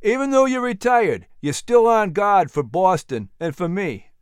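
A man's voice: high-pitched, expressive, a little slow, clear, resonant tone, no echo, clean studio sound, pitched above the performer's own voice. A man voicing an eager, friendly, polite young bookseller in a historical storybook.

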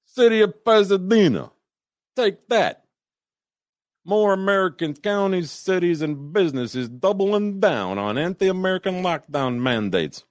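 A brash Italian restaurant owner, loud and boastful, done by a man in a high, over-the-top mock Italian accent. He sounds close and dry, with no room around him. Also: no echo. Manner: high, theatrical put-on accent.

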